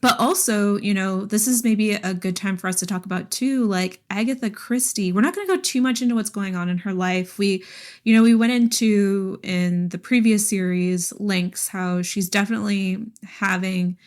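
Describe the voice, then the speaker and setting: A woman co-hosting a podcast, chatting about books in an informal, animated way full of "like". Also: medium pitch, normal speed. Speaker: podcast co-host. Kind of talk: casual book discussion chat.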